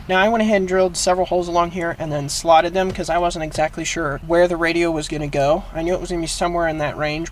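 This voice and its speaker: A man's voice: on the high side, slight background noise. A man hosting a how-to video, talking through a hands-on job in a casual, plain-spoken, explanatory way. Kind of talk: casual hands-on DIY tutorial.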